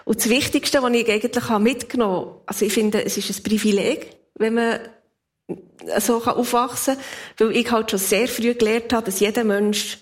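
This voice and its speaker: A woman's voice: on the high side, fairly even intonation, moderate pace. A woman in her fifties with a Swiss accent, telling personal stories in a casual, reflective way with an easy laugh. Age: 50s. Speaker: interview guest.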